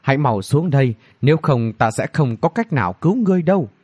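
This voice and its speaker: A man's voice: medium pitch, expressive, a little fast, darkish tone, no echo, muffled recording, lightly acted. A man voicing a cocky young male hero in a fantasy audiobook, mocking and commanding.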